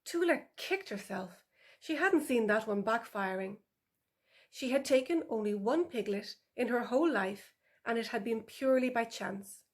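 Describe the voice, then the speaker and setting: A woman's voice: a little high, animated, moderate pace. A woman author reading her animal story for older children as scripted narration.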